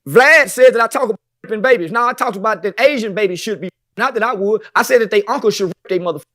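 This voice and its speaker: A man's voice: high voice, rapid-fire, fairly bright tone. A man talking brashly as a podcast guest, boastful and preachy, swearing constantly.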